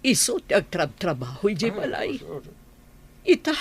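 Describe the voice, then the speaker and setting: A woman playing an ailing older village woman, plaintive and talkative, with a husky voice in a radio drama. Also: medium pitch, very animated, moderate pace, lightly acted. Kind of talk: Ilocano radio drama acting.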